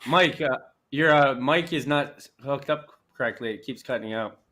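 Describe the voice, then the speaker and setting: A man's voice: medium pitch, moderate pace, a bit bright. A young Canadian man hosting a casual sports chat show, talking in loose rambling restarts with easy laughs.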